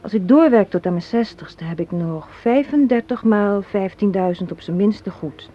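Through a slightly hissy, muffled old radio-play recording comes an actress as a young woman with a sharp mind and a cynical streak. She argues assertively and articulately, with a sardonic edge. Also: medium pitch, expressive, moderate pace, close to an everyday voice. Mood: scornful, firm. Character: assertive, cynical young woman arguing against a marriage proposal.

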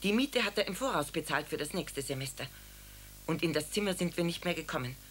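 A woman's voice: sits low, expressive, fairly quick, fairly bright tone, slight background noise. A plain-spoken landlady. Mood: earnest. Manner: plain, hesitant, explanatory. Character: plain-spoken landlady recalling her lodger.

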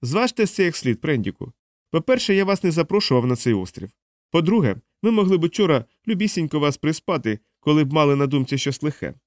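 Audiobook character: commanding man of science, played by a male reader, raised pitch, insistent, deliberate, coolly persuasive. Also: very animated, no echo. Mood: cool, reasoning.

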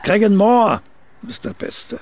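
An actor playing a vain, grumpy older playwright in a radio play, gravelly and pompous, issuing demands and pronouncements. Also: male voice, sits high, takes it slowly, darkish tone, no echo, exaggerated voice. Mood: pompous lecturing. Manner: peremptory, muttering, pompous pronouncements. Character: vain, grumpy ageing celebrated male playwright, demanding and grandiose. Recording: faint background noise.

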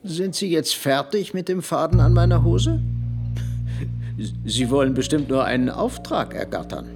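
An actor playing a cool, self-assured, condescending hatter in a German radio play. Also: male voice, on the high side, big pitch swings, unhurried, over music.

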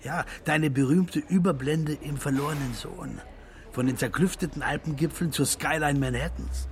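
An actor playing a worldly film producer who talks as a loyal, urging friend in a radio play. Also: male voice, a little high, moderate pace, close to an everyday voice. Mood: wistful.

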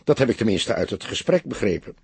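Very husky and dry, this is a bitter young mother as acted out by a male narrator in an audiobook. She sounds upset and defensive, with scorn for men and a lively, lifted intonation. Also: lowish voice, fast, dry recording, full character voice. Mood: subdued, explaining. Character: young unmarried mother, bitter, upset, sober-mannered witness. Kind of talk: audiobook character dialogue.

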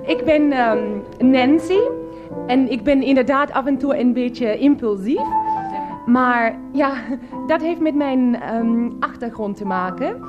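A woman playing a naive, sweet young mistress in a radio play, halting, meek and earnest. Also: high voice, muffled recording, over music.

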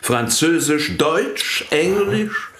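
An actor in a radio play playing a matter-of-fact churchman with a measured, formal, deliberate manner. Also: a little high, expressive, fairly bright tone, a little hiss or hum, exaggerated voice. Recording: echoing, reverberant room.

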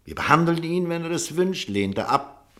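An actor playing a stubborn, principled doctor in a German radio drama, speaking formally and firmly. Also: male voice, on the high side, expressive, a bit bright. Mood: firm, irritated. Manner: formal, firm, measured argument.

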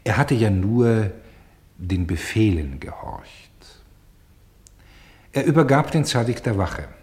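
An actor playing a grave, sorrowful storyteller in a radio play, with solemn and deliberate phrasing. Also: male voice, on the low side, lively intonation, a little mellow. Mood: somber. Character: grave, sorrowful male storyteller bearing witness to tragedy.

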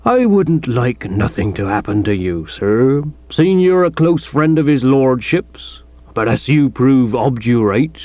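A man voicing a ponderous, aggrieved village police sergeant in a comic audiobook, with a British accent and a dark, slow manner. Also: mid-range voice, animated, dry recording, dull audio, natural voice. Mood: gloomy warning. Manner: slow, dark, uneducated grammar.

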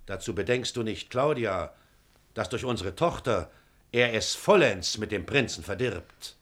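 A man's voice: fairly low pitch, animated, unhurried, fairly bright tone. An actor playing a stern, suspicious middle-aged father, proud and declamatory, in a classical radio drama. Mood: scornful. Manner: declamatory, severe. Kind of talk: classical stage-style radio drama acting.

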